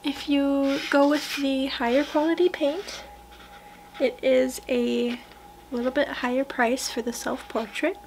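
A young woman doing a soft-spoken ASMR roleplay, hushed and gentle, often slipping into whispers, close to the mic in a small room. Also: high-pitched, flat delivery, measured pace, a little hiss or hum, low-quality recording. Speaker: ASMR roleplayer playing a portrait painter. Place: small wood-panelled room indoors.

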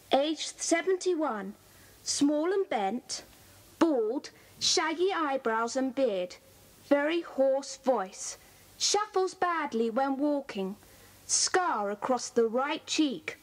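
A young British schoolgirl in a children's drama. The voice is high, with an earnest, efficient manner. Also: expressive, drawn-out words, exaggerated voice, poor audio. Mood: earnest reporting. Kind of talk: children's audio drama acting.

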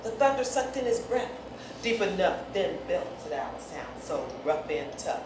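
A middle-aged woman reading and chatting to children in an expressive, playful, teasing way, like an author sharing her poem. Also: on the low side, measured pace. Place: bare indoor room with office chairs. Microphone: far camera microphone. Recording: echoey room, far mic, noisy.

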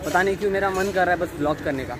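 A man's voice: high-pitched, very fast, a crowd in the background. A young man chatting casually to his phone camera as a vlogger on a busy city street, mixing English phrases into Hindi. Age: young adult. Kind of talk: casual vlog chat. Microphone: handheld phone camera. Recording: loud background music. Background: loud hip hop beat.

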